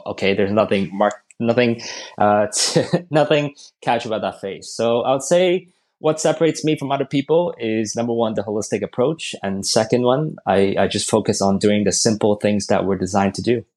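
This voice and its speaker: A man's voice: fairly low pitch, moderate pace, darkish tone. A man from Hong Kong, a strength and conditioning coach, answering podcast interview questions in a thoughtful way, often restarting his words.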